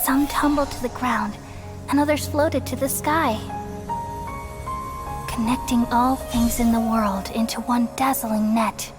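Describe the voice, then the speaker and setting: Woman voicing a wise gentle game goddess, highish voice, solemn storytelling over music. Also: fairly even intonation, measured pace, natural voice. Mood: tender, wistful. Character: serene, benevolent female goddess, wise and tender. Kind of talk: English video game voice acting. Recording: music behind the voice. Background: clear background music.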